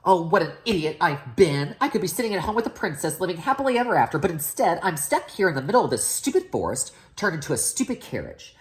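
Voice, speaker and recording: A woman's voice: on the low side, very animated, fast talker, few pauses, pitched above the performer's own voice. A woman voicing a hapless young storybook prince, earnest, polite and flustered, often pleading. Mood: annoyed, despairing. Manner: polite, flustered, repeats himself.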